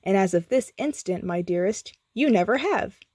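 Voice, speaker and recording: A woman's voice: medium pitch, lively intonation, normal speed, dark tone, no room sound, clean studio sound, natural voice. A woman voicing a female guardian angel, a lost sweetheart who speaks tenderly and solemnly.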